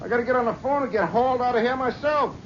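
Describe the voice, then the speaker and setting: An actor playing a blunt, grumbling cab driver in an old radio drama. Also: male voice, very high-pitched, fast talker, heavy background noise. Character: gruff middle-aged male New York cab driver. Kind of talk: 1970s radio drama acting. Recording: dull old radio broadcast.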